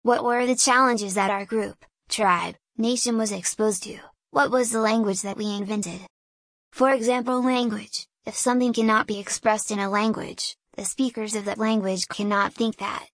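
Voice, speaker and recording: A woman's voice: mid-range voice, steady tone, fairly bright tone, no room sound. Woman musing aloud, dry sound. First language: Hungarian. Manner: deliberate, philosophical, digressive. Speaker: small business owner. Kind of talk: reflective solo monologue.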